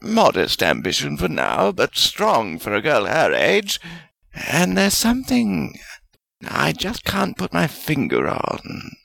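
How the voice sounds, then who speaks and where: A man voicing a fierce, excitable, jealous male wizard spirit with a snappish, theatrical manner in a storybook reading. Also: a little high, slow pace, vocal fry, no room sound, voice pushed up, exaggerated voice. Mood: fierce, insistent.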